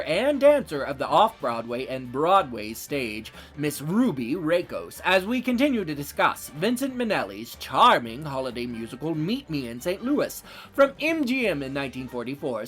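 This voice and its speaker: A man hosting a film podcast, talking in an animated, emphatic and chatty way. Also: fairly high pitch. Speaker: podcast host. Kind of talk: theatrical scripted intro, then casual film chat.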